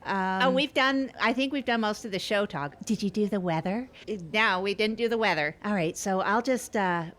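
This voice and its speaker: A woman's voice: mid-range voice, moderate pace, fairly bright tone. A woman co-hosting an improvised comedy podcast, talking in a rambling, teasing way and often repeating herself. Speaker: podcast co-host.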